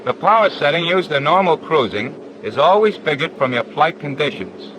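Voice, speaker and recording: An actor playing a patient, matter-of-fact flight instructor in a 1940s training film, measured and firm. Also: male voice, on the high side, expressive, lightly acted. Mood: firm, instructive. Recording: 1940s film soundtrack with faint noise.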